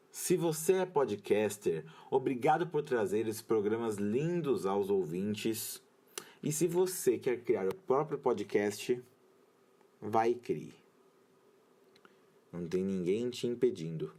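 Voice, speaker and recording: A man's voice: lowish voice, lively intonation, takes it slowly, warm tone. A man with a Brazilian accent, hosting a podcast in scripted narration. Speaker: podcast host and creator.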